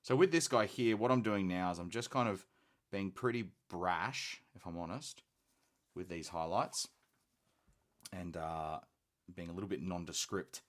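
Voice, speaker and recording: Very deep-voiced Australian man, posh accent. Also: measured pace. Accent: posh-sounding Australian. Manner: relaxed, chatty, self-deprecating. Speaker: hobby stream host. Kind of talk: casual live-stream chat while painting.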